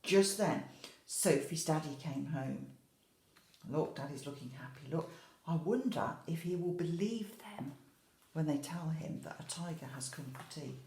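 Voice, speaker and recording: A woman teacher reading a picture book to young children in an expressive way, adding chatty asides and questions. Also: deep voice, a little slow, reverberant. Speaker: infant school teacher.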